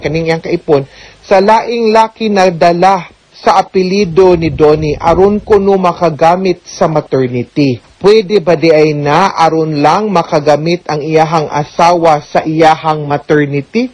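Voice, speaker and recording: A woman's voice: fairly low pitch, unhurried, a little mellow, dry recording. Cebuano filipino lady lawyer hosting radio legal advice, chatty explainer style, keeps checking in.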